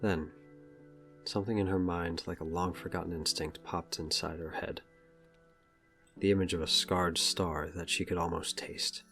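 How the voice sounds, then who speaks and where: A man narrates a scripted audio drama. His voice is very low, set over a light bed of music. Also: fairly even intonation, normal speed, bright, forceful tone. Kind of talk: scripted story narration in present tense.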